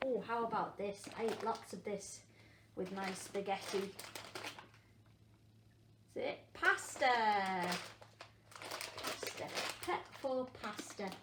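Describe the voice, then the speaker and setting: A woman, a primary school teacher, leading an interactive phonics lesson for young children, encouraging them and asking questions with pauses for answers. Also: mid-range voice. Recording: echoey room with faint background noise.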